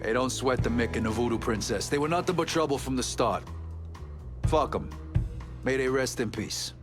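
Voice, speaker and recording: A man's voice: medium pitch, normal speed, natural voice. Man acting a swaggering, foul-mouthed mobster, music behind. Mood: dismissive. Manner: profane, swaggering street talk. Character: brash, foul-mouthed middle-aged mobster right-hand man. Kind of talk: video game cutscene acting.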